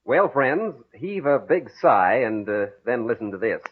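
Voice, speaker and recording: Animated American old-time radio announcer man. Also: mid-range voice, measured pace. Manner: formal scripted sales and credit reading. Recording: phone-like old radio recording, music.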